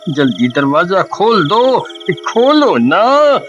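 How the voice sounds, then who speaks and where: A high-pitched, blustering village drunkard husband from a kids' cartoon, played by a man. He pleads and scolds cowardly in slow, stretched cries, and his pitch swings wildly. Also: big pitch swings, dull audio, full character voice. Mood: panicked pleading. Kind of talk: Hindi children's cartoon voice acting.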